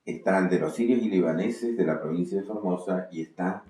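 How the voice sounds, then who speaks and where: An Argentine man presents a guest in a low-pitched voice. He speaks as an interviewer introducing books, and strong echo from the room surrounds everything he says. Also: fairly quick, dark tone, a little hiss or hum. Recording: very echoey room.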